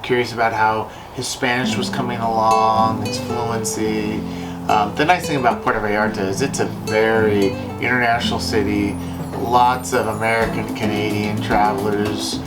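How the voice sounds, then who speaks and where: A man's voice: lowish voice, level tone, moderate pace, low-quality recording. A man co-hosting a casual travel chat, rambling and thinking aloud as he goes. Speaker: travel podcast co-host. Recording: loud acoustic guitar music over voice. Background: acoustic guitar music.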